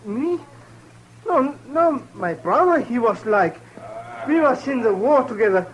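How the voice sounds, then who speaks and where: An actor playing an anxious immigrant farmer with a German accent, pleading in halting broken English in a 1950s radio drama. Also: male voice, very high voice, expressive. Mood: panicked protest. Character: anxious immigrant farmer, protesting his innocence. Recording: noisy old radio broadcast recording.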